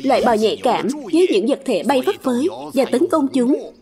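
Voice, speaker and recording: A woman's voice: high voice, a little fast, crowd noise. Woman doing an old cartoon professor. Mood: explaining. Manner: calm, explanatory. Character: old scholarly cartoon doctor, patient and explaining. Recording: dub with loud music behind.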